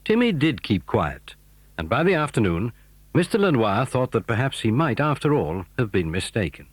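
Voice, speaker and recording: A man's voice: mid-range voice, big pitch swings, moderate pace, slightly rough, no echo. A British man narrating a children's adventure drama in a scripted storytelling style.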